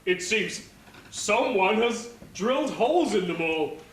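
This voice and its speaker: A man's voice: high-pitched, sing-song, measured pace, a bit bright, exaggerated voice. A man playing a scheming, bossy pantomime baron on stage, grandly theatrical and mock-polite. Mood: smug. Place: theatre stage before an audience. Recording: echoey hall, distant mic, noisy.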